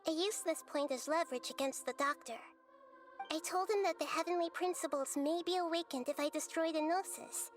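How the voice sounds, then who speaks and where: A woman playing a small, wise young goddess in a game, speaking in a calm, measured, articulate and gently explanatory way. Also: extremely high pitch. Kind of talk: scripted game dialogue. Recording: background music under the voice.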